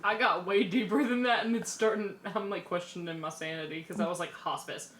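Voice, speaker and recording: A woman chatting casually, playful and excitable as she puts questions to others. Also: mid-range voice, brisk, reverberant, rough recording. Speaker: podcast co-host reading questions. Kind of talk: casual chat.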